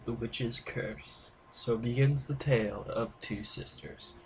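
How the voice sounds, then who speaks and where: A man's voice: mid-range voice, takes it slowly. A man hosting a gaming video, reading the characters' dialogue aloud in a playful, informal way with some stumbles. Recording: very noisy gameplay recording with clicks.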